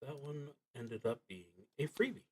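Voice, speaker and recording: A man streaming a solo tabletop roleplaying game, thinking aloud in improvised commentary with a hesitant, halting delivery that trails off mid-thought. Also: medium pitch, very animated, darkish tone, slight background noise.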